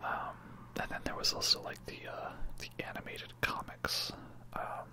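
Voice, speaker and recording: A person whispering breathy, casual, rambling commentary over a video game in an ASMR style. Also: a little slow.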